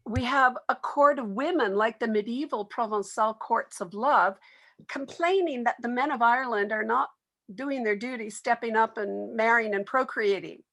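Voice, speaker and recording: A woman's voice: on the high side, normal speed, a bit bright. A woman speaking as a literary expert in an interview, explaining her subject at length.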